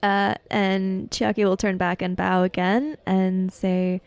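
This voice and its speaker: A woman's voice: medium pitch, little pitch movement, slow, a little mellow, clear, resonant tone, dry recording. A woman playing a tabletop roleplaying game, casually narrating what her character does in the third person.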